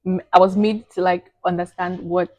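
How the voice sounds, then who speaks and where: A woman's voice: lowish voice, level tone, moderate pace, warm tone, dry recording. A woman in her twenties from Eastern Nigeria, chatting as an interview guest and laughing often. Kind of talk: casual interview chat.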